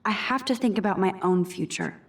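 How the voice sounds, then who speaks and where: A woman's voice: medium pitch, brisk, lightly acted. An actress playing a thoughtful, anxious teenage schoolgirl in a video game, voicing her thoughts reflectively and earnestly. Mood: regretful, worried. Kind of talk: video game voice acting, inner monologue.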